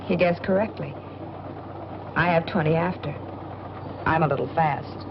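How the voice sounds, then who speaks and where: Bored, headstrong young woman, low-pitched, noisy film. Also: a little slow. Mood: bored, chatty. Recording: dull, noisy old film soundtrack, train rumble.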